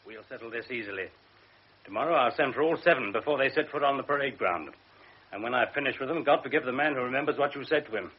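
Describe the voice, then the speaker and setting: An actor playing a blustering army colonel in an old radio drama, barking orders. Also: male voice, mid-range voice, animated, quick pace, breathy edge. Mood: stern. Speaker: commanding officer. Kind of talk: 1960s radio drama acting. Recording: dull lo-fi radio broadcast.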